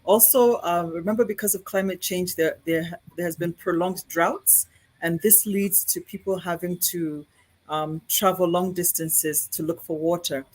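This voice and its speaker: Kenyan accent, guest speaker, medium pitch, halting explanatory answers, repeated words. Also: fairly even intonation, low-quality recording. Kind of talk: answering questions at an online conference.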